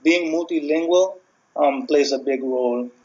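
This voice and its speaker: A man's voice: fairly high pitch, moderate pace, a little mellow, highs cut off. A man from Aruba who grew up multilingual, giving matter-of-fact interview answers.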